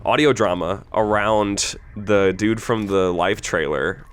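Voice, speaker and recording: Lower-voiced young man, halting and eager. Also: smooth. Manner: halting, many restarts and fillers, rising when eager. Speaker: producer of an audio drama. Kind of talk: casual commentary chat.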